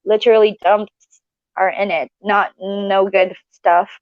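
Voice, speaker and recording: A woman's voice: medium pitch, steady tone, a little slow, no room sound. Chatty woman from Malaysia, dull audio. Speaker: live stream co-host. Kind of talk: casual live stream chat. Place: living room at home.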